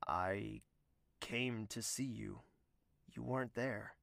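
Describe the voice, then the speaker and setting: A man's voice: lowish voice, takes it slowly, vocal fry, a bit bright, no room sound, studio quality, full character voice. An actor in an audio drama playing an anxious, lovesick nobleman, hesitant and brooding as he talks and narrates. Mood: tender, worried. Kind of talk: audio drama dialogue and first-person narration.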